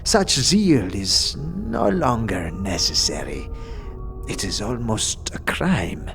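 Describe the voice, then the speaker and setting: Man acting a slow, solemn scholarly guide. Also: lowish voice, big pitch swings, gravelly, over music, full character voice. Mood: earnest, regretful. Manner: measured, formal, deliberate pauses. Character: reflective Dustman guide, scholarly, calm zealot of death. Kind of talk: fantasy audio drama acting.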